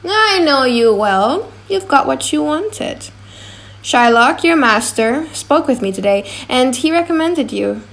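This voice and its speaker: A well-mannered young gentleman acted by a woman in an audiobook play. The delivery is polite and authoritative, in a highish voice with a creaky edge. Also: expressive, slow pace, exaggerated voice. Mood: gracious, commanding. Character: courteous, measured young gentleman master, giving orders. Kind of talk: audiobook drama reading.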